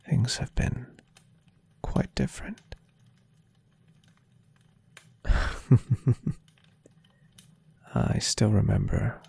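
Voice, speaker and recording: A man voicing a gentle, adoring, centuries-old vampire boyfriend in a soft-spoken, tender and unhurried ASMR roleplay. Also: on the low side, heavily acted. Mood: fond, tender. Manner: tender, breathy, unhurried, softly teasing.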